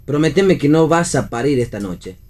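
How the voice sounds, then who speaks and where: A man's voice: fairly high pitch, lively intonation, normal speed, darkish tone. An Argentine man playing a wry, sardonic young husband in a radio play reading. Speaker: actor in a read-theatre radio play.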